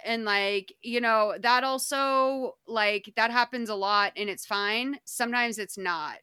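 Bright, crisp-voiced chatty woman. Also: fairly high pitch, unhurried, no echo, clean studio sound. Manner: rambling, filler-heavy, animated. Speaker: podcast co-host. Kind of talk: casual chat recapping a documentary.

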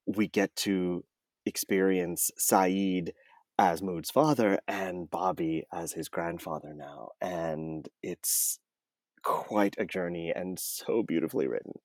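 A man's voice: sits low, slow, no echo, studio quality. A man speaking as an interview guest, an audiobook narrator talking conversationally and enthusiastically, stretching words for emphasis.

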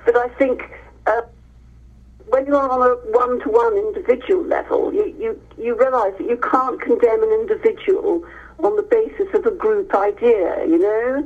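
A woman's voice: fairly high pitch, moderate pace, phone-quality sound. An English woman, middle-aged or older, answering as a guest author in conversation, telling stories with emphatic repetition. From Hereford, England, near the Welsh border.

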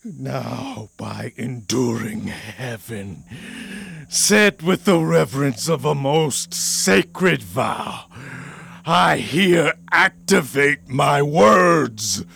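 An actor playing a jealous, vengeful military commander and tormented husband, declaiming classical verse grandly and with passion in a radio play. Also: male voice, medium pitch, animated, very slow, gravelly, fairly bright tone, slightly rough, full character voice. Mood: cold, solemn.

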